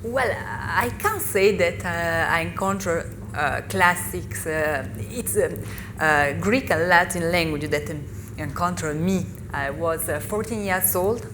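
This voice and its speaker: A woman in her thirties from Italy, with an Italian accent and Italian as her first language, speaking haltingly as a panelist at a live discussion. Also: lowish voice. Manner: halting, searching for English words. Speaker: panelist, author. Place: festival venue. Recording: live panel recording with noise.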